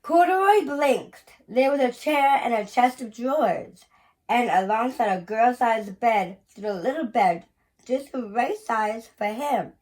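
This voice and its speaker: A woman reading a children's picture book aloud in an expressive, deliberate storytelling manner with pauses. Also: on the high side, rough recording. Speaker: museum educator reading aloud.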